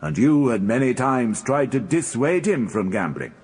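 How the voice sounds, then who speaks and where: A formidable British prosecutor in a radio courtroom. The hall rings long around his rough-edged voice. He is formal and insistent, leaning hard on each question. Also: mid-range voice, very animated, moderate pace, a little mellow. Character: formidable, pressing male Crown prosecutor, forceful and relentless. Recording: long echo of a large hall.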